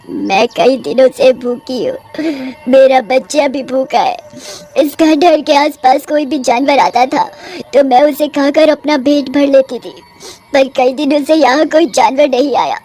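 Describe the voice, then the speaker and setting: A sorrowful young ghost witch performed by a woman in a horror cartoon. Her voice sits high and has a plaintive, dramatic manner, with faint music behind it. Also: unhurried, mellow. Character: sorrowful pregnant witch, young dead wife turned ghost. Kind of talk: Hindi horror cartoon voice acting.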